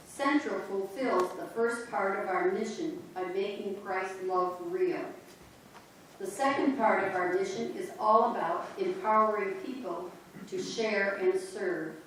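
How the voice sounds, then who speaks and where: A woman performing reader's theater, reading scripted lines slowly and deliberately in a church. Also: mid-range voice, a bit bright, a little hiss or hum. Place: church sanctuary. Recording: echoey church from far off.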